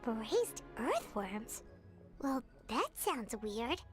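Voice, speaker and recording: A woman voicing a tiny, excitable female companion who talks about herself in the third person, in a game. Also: fairly high pitch, drawn-out words, soft background music, heavily acted. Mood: wary. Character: tiny floating female companion, chirpy and nosy. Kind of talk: game voice acting.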